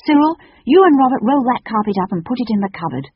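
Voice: medium pitch, very animated, takes it slowly, breathy edge, no echo, highs cut off, voice pushed up, heavily acted. A reader voicing a polite, earnest young girl in a storybook, eager and well-mannered. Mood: excited relief.

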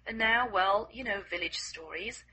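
A hoarse woman reading the lines of a friendly, apologetic male village cabinet maker, close to her own voice. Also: high voice, unhurried, pushed and bright, exaggerated voice, low-quality recording.

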